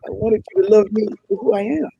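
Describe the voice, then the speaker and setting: A man acts out an earnest young African prince in a comedy table read. He pleads dramatically in a high, creaky voice, repeating himself, over faint noise. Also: quick pace, dark tone, dull audio.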